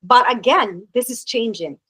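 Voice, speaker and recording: A woman's voice: fairly high pitch, a little slow, sharp tone, husky, no echo. Woman moderating a panel. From Kenya. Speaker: host and moderator.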